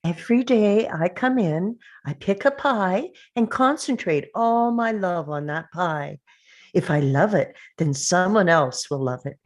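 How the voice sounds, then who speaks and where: A woman's voice: on the low side, animated, unhurried. A woman reading the part of an earnest, bubbly waitress in her twenties at a scripted drama table read. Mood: earnest, sweet.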